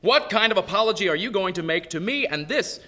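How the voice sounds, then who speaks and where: A self-righteous small-town deacon in a radio play. The voice is high, forceful and wildly animated, full of indignant bluster. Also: male voice, fairly quick. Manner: blustering, challenging. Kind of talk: live radio drama acting.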